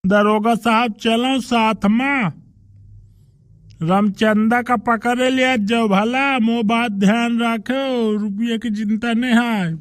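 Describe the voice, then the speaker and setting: Man playing a slow, high-voiced pompous headman. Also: fairly even intonation, no room sound, studio quality. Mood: bossy. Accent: Bundelkhandi. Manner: pompous, bossy. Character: pompous older male village headman, corrupt and threatening. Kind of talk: rustic cartoon comedy dialogue.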